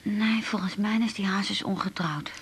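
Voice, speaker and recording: Husky, scatterbrained chatty woman in her forties. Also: mid-range voice, normal speed. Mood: dreamy, chatty. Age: 40s. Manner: rambling, colloquial, tag questions. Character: scatterbrained, lonely, self-deprecating chatty woman of nearly forty-four. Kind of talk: naturalistic radio drama dialogue.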